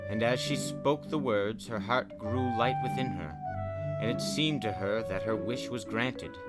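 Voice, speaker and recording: A man's voice: lowish voice, unhurried, poor audio. A man hosting a spooky story podcast, narrating in a dramatic storyteller's style. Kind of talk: dramatic fairy-tale narration with casual commentary. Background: music.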